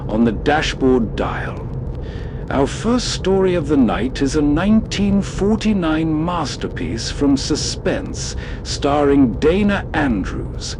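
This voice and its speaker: A man's voice: a little high, big pitch swings, takes it slowly, noisy background. A man hosting with scripted, atmospheric narration. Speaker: host.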